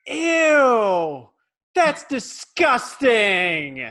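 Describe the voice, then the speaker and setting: A man in his thirties playing a bratty, excitable young boy in a table read over a video call. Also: extremely high pitch, very slow, heavily acted. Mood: cheeky. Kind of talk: comedy script table read. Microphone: computer on video call.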